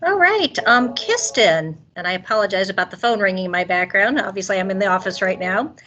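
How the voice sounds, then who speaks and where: A woman's voice: mid-range voice, animated, fairly quick, pushed and bright, dull audio. A woman moderating a virtual meeting from an office, acknowledging speakers and putting questions to them.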